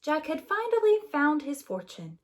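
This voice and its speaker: A woman narrating a children's story as a preschool storyteller, in an animated style. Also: sits high, normal speed.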